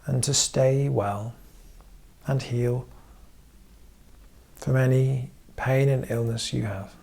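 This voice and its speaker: A man guiding a meditation as a therapist, giving gentle instruction in short, measured phrases with frequent pauses. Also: medium pitch, fairly even intonation, creaky. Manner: measured, pausing often between short phrases. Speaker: therapist leading guided meditation.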